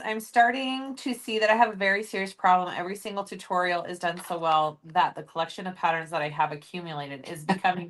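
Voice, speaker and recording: A woman's voice: medium pitch, fairly bright tone. A woman hosting a live sewing stream, chatting casually, laughing often and reading out viewer comments.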